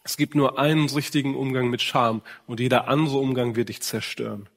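A man's voice: medium pitch, fairly even intonation, a little fast. Man preaching in a reverberant hall. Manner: earnest direct address with rhetorical questions. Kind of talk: sermon to men.

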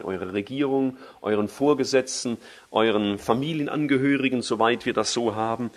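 Emphatic German preacher giving a sermon. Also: male voice. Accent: German, with a native dialect. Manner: emphatic, rises in pitch on key phrases and slows for scripture.

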